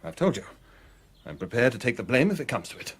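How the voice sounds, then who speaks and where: A man playing a guarded, strained lover in a radio play, earnest and defensive, with a husky voice. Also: medium pitch, sing-song, fast talker, fairly bright tone, slight background noise. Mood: grave, resigned. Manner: earnest, agitated, dramatic.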